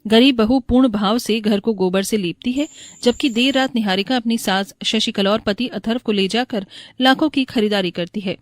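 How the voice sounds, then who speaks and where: A woman's voice: on the high side, moderate pace, no echo, studio quality. A woman narrating a moral bedtime story as a scripted voice-over.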